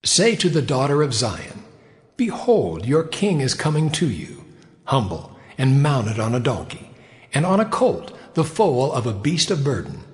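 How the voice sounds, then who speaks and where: An actor playing a solemn ancient prophet, declaiming in an audio drama with long hall reverb. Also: male voice, mid-range voice, big pitch swings, measured pace. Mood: proclaiming.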